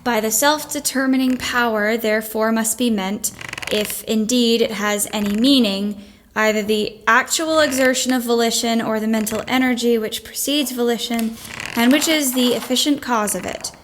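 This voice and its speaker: A woman's voice: fairly high pitch, fairly even intonation, moderate pace, noisy background, natural voice. A woman playing a bookish, outspoken fifteen-year-old girl in a period audio play, frank and literate. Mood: dutiful reading.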